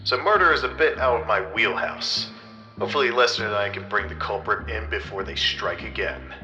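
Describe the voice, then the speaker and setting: A man playing a self-serious, dim-witted private detective, narrating his case with deadpan drama in a comedy noir parody. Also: fairly low pitch, fairly quick, echoey room, faint music behind. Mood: earnest.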